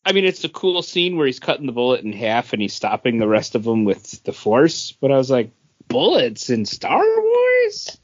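Animated man in casual podcast chat. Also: mid-range voice, normal speed, dry recording, muffled recording. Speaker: comics podcast co-host.

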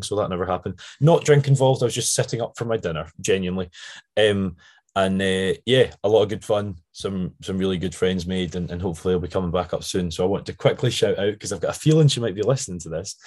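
Scottish male, deep voice, slightly croaky, chatty podcast host, rambling casual delivery. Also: quick pace, a little mellow. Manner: chatty, rambling with frequent tangents.

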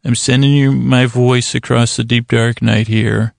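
A man hosting a sleep podcast, rambling in a halting, meandering way, repeating words and restarting his sentences. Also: medium pitch, little pitch movement, vocal fry, a little mellow, no echo, clean studio sound. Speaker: podcast host. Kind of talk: rambling bedtime monologue to lull listeners to sleep.

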